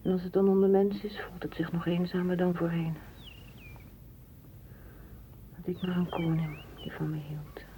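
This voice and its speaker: An actress playing a solitary, dreamy woman in a radio drama, speaking in a hushed, poetic way. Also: lowish voice, flat delivery, fairly quick, slight background noise, lightly acted. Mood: wistful. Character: solitary, dreamy, independent woman longing for open space. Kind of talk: literary radio drama acting.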